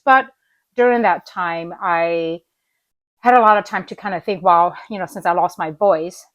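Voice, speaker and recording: A woman's voice: fairly low pitch, no room sound. A woman in her forties vlogging from her small living room with a laptop camera, chatting in a rambling way with fillers as her voice comes back after illness. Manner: rambling, many fillers and restarts. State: recovering voice, coughing. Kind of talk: unscripted morning vlog chat.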